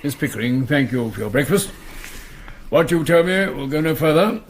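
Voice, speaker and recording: An actor playing a dignified, wary English ex-army colonel turned crook, with a pompous, clipped manner in a radio drama. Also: male voice, a little high, expressive, moderate pace, a little hiss or hum, close to an everyday voice. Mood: courteous, wary. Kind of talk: British radio drama acting.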